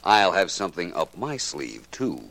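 A suave detective from an old radio mystery. His voice is very low, with lively inflection, and he reasons with clever self-assurance. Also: unhurried, gravelly, background noise, heavily acted. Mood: explaining, sly. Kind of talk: 1940s radio detective drama acting.

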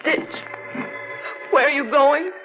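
An actress playing a tearful, lovelorn young brunette in a plaintive, melodramatic 1940s radio style. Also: female voice, sits high, slow pace. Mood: tearful, pleading. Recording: tinny old radio broadcast, music behind.

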